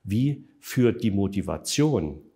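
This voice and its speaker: A man answering interview questions in a measured, factual way, with frequent hesitation fillers. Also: fairly low pitch, big pitch swings, mellow, breathy edge. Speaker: interview guest, developer of an online tool.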